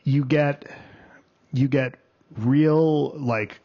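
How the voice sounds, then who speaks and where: A man's voice: medium pitch, very slow. A man with a mid-range voice reviewing a film with friends. He stumbles and restarts, then presses his points with bursts of emphasis. The sound is dull and lo-fi. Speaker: film critic, co-host. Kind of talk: casual review chat. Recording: dull lo-fi audio.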